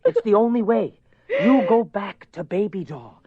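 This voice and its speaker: A man's voice: high voice, big pitch swings, a little slow, husky, no room sound, full character voice. A man playing a desperate husband in a horror radio play, intense and imploring as he confronts a spirit. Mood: soothing, pleading. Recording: muffled lo-fi radio drama recording.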